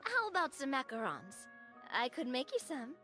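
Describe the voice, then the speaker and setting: A woman's voice: sits high, big pitch swings, moderate pace, sharp tone, faint music behind, heavily acted. An actress playing a cheerful young woman in a video game who keeps urging everyone to stay optimistic and eat something sweet. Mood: upbeat. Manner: upbeat, bubbly, persuasive. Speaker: player character in a tabletop game.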